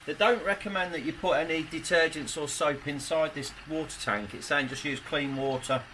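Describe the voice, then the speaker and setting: A man's voice: on the high side, moderate pace, pushed and bright. Chatty man in a noisy garage. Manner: chatty, talks himself through each step. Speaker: product reviewer. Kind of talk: casual hands-on product review. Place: home garage workshop, indoors.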